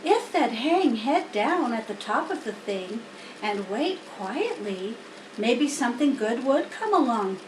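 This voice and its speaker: Storybook spider, acted by a woman: female, wise, plain-spoken, instructive, high voice, roomy distant recording. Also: sing-song, measured pace. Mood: wry, opinionated. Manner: matter-of-fact, instructive. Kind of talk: children's storybook character reading. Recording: roomy, distant mic, faint noise.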